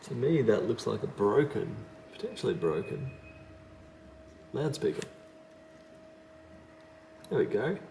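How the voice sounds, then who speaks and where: A man with a low, creaky voice talks through a hands-on repair in a casual way. He thinks aloud as he goes, tentative and correcting himself. There is faint noise behind him. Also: very animated, a little slow, long pauses, warm tone. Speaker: repair technician, video host. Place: indoors at a repair workbench.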